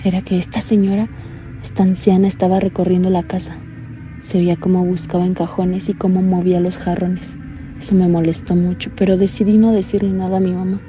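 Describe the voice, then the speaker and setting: An actress playing a blind young woman calling in to tell a frightening story, talking in a low, earnest, uneasy way. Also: level tone, fairly quick, mellow, soft background music, natural voice. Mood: anxious. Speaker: caller. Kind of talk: radio drama acting.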